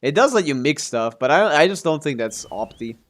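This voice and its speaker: A man's voice: a little high, sing-song, a little fast. A man streaming a video game, chatting casually and thinking aloud in a hesitant, trailing way. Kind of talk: casual livestream gaming commentary and chat.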